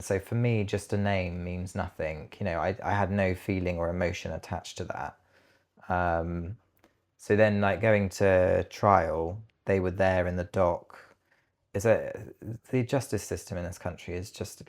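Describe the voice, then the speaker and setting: A British man with a low-pitched voice talks as a guest in an interview. He sounds reflective, feeling his way through personal memories with some hesitation. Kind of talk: personal interview, recounting family loss.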